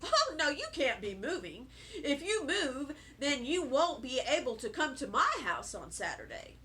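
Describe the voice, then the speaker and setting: A woman's voice: high voice, sing-song, a little slow, pushed and bright, slight background noise, heavily acted. A woman voicing a hearty, teasing, kindly old grandfather in a children's storybook, emphatic and coaxing. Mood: mock upset. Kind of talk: children's storybook read-aloud.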